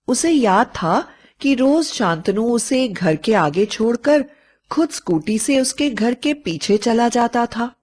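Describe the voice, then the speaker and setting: A woman narrating a scripted story as a storyteller, in a measured, dramatic manner. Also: mid-range voice.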